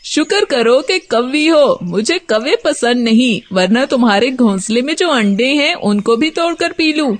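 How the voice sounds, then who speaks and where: A woman plays a wicked cartoon snake, male and greedy for eggs. The voice is high, and it gloats and threatens like a villain in a children's moral story. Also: animated, measured pace, few pauses. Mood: taunting. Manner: gloating, menacing self-talk.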